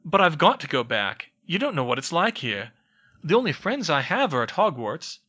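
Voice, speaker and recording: A man voicing a nervous young wizard boy who stammers and talks in urgent hushed tones. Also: on the high side, big pitch swings, normal speed, muffled recording, pitched above the performer's own voice, exaggerated voice. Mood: earnest.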